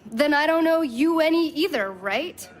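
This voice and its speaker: A woman's voice: sits high, animated, moderate pace. A woman playing a wounded, pushy young woman in a naturalistic audio drama, speaking insistently and confrontationally. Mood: bitter, defiant.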